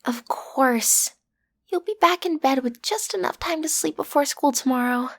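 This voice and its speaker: A woman's voice: high-pitched, moderate pace, fairly bright tone. A woman playing a cute teenage girl who is training to be a supervillain, sassy and theatrical but a little awkward, in a roleplay audio drama. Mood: smug, casual. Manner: theatrical, sassy, hesitant when breaking character.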